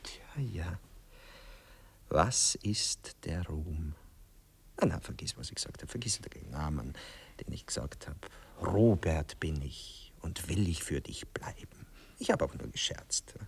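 An actor playing a vain, rapturous poet wooing his lover in an Austrian accent, theatrical and breathy, in a 1960s radio play. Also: rumbling low, animated, a little slow, whispered, full character voice. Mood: wistful. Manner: theatrical, breathy murmurs, swooning declamation.

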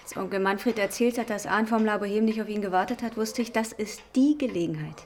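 An actress playing a cool, self-interested young waitress, matter-of-fact and hard-edged, in a radio crime drama. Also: female voice, mid-range voice, fairly quick, a little mellow, natural voice. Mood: resolute. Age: young adult.

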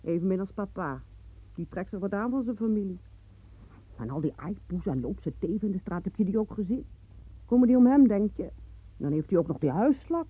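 A woman's voice: medium pitch, lively intonation, fairly quick, breathy edge, no echo, narrow, tinny audio. An actress playing a grasping daughter with eleven children who gossips, schemes over her father's riches and laughs often. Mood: gossiping.

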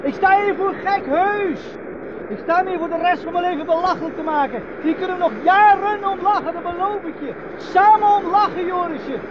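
Pleading, scolding man, extremely high strained calls, Netherlands Dutch accent, vintage radio drama, muffled sound. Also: normal speed. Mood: desperate, pleading. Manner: shouting, pleading. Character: desperate, scolding man calling out across the sea. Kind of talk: 1970s radio drama acting. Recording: dull old recording, noisy outdoor sea effects.

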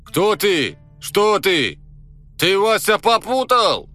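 A man voicing a furious, threatening fire demon with a Caucasian accent, loud and taunting in a comic audio story. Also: high-pitched, very animated, slow, soft background music, pitched above the performer's own voice, heavily acted. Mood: menacing.

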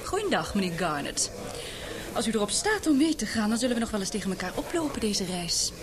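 Actress doing snooty young woman scientist in a dutch radio drama, sarcastic, swoopy pitch, crowd noise behind. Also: big pitch swings, brisk, natural voice. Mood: cutting. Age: young adult. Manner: curt, sarcastic, crisp questioning. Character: haughty, prickly young female scientist. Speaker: actor in a radio play. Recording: radio play with clear crowd murmur.

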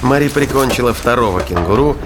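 A man's voice: mid-range voice, animated, brisk, background noise. A man giving a dramatic scripted narration of a science fiction thriller. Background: music.

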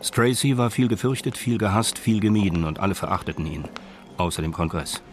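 A man narrating a crime radio drama in a sober, detached, deadpan and measured manner. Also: fairly low pitch, soft background music, close to an everyday voice. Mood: dryly descriptive.

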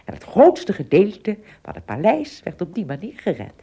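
Mellow, husky woman storyteller. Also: mid-range voice, huge pitch swings, normal speed. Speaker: narrator. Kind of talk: scripted storybook narration of a radio play.

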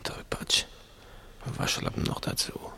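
An actor playing a gruff, impatient burglar in a German crime radio play, bossy and irritable in hushed tones. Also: male voice, unhurried, in a whisper. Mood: irritated, hushed. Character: gruff, impatient burglar leading his timid partner through darkness.

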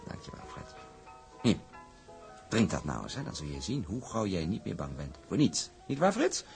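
A man's voice: low voice, wildly swooping pitch, moderate pace, vocal fry, darkish tone, faint music behind, exaggerated voice. An actor playing a smug, domineering shipping tycoon in a drama, talking in a hearty, condescending way to his sweetheart. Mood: patronising. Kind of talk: acted period drama dialogue.

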